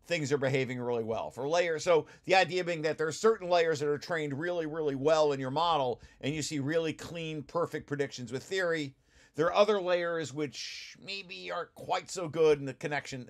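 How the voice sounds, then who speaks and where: A man giving an informal technical explanation as a presenter, often restarting and repeating his phrases. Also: on the high side. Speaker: presenter of a research paper, study group leader. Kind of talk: informal technical explanation over shared slides.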